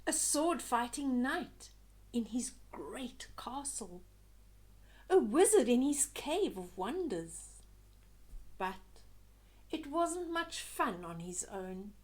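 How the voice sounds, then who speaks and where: An older woman reading a children's picture book aloud expressively, pausing to chat to young listeners about the pictures. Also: fairly high pitch, sing-song.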